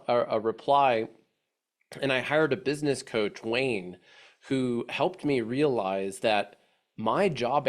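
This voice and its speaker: A man from the Chicago suburbs presenting a casual webinar, congested from allergies, talking in a friendly, rambling way with frequent restarts. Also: mid-range voice. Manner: friendly, chatty, many restarts and fillers. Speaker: webinar presenter, agency head.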